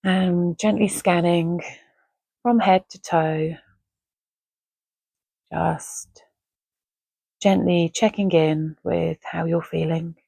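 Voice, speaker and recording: A woman guiding a relaxation meditation in a gentle, measured, soothing way, leaving long pauses between phrases. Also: lowish voice, fairly even intonation, creaky, slightly rough, no room sound. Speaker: meditation guide. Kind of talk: guided Yoga Nidra relaxation, soothing and measured.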